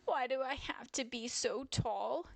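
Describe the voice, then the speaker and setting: A woman's voice: sits high, fairly even intonation, slow pace, gravelly, no echo, voice pushed up, heavily acted. A woman voicing a sad, hurt young girl bear in a storybook, with a plaintive, childlike, crying manner. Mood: self-pitying sorrow.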